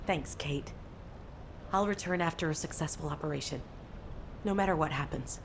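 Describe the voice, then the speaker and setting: A woman's voice: low voice, moderate pace, background noise. A woman acting as a stubborn, self-sacrificing young fighter in a video game, serious and resolute. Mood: calm, resolute.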